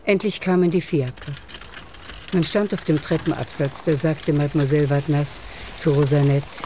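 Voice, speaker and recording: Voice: mid-range voice, steady tone, normal speed, warm tone, a little hiss or hum. A narrator reading a literary radio play in scripted storytelling style. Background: music.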